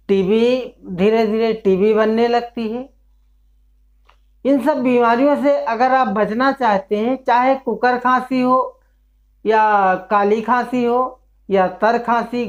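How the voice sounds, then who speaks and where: A man who works as a traditional herbal healer, explaining a home remedy in a slow, repetitive way and listing one ailment after another. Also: very high voice. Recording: dull lo-fi sound.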